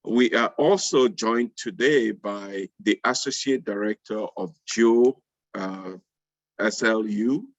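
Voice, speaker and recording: A man with a fairly low voice hosts a live webinar. His delivery is halting, with repeated words. The sound is dry and somewhat muffled, as from a video call. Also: dry recording. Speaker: panel host. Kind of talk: live webinar hosting and introductions. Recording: muffled online call audio.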